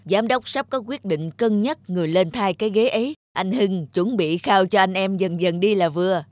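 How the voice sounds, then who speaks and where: An actor playing a chatty, gossiping male office worker in an animated drama, casual and teasing. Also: sits high, normal speed, no room sound, studio quality, natural voice. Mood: gossipy, flattering.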